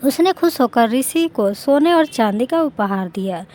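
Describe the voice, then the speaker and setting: A woman's voice: high-pitched, lively intonation, a little slow, darkish tone. A woman reading a scripted moral story as a narrator.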